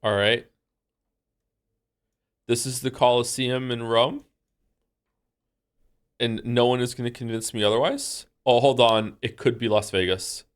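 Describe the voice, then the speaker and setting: A man from Wales livestreaming, thinking aloud in a rambling, excitable, incredulous way as he chats casually with viewers during a game. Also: medium pitch, unhurried, pauses often, smooth. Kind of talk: casual chat with stream viewers while playing a guessing game.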